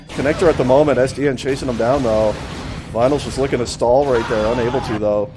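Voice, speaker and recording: Man casting a live match, voice going. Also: medium pitch. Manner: rapid play calling that surges into excited shouting on big plays. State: losing his voice. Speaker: esports shoutcaster. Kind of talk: live play-by-play commentary.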